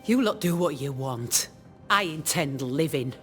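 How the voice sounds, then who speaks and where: Impatient, sardonic councilwoman, deep female register, brusque period game delivery. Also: animated, measured pace, fairly bright tone. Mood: defiant, impatient. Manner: sarcastic, brusque. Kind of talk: period drama game acting. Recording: music under the voice.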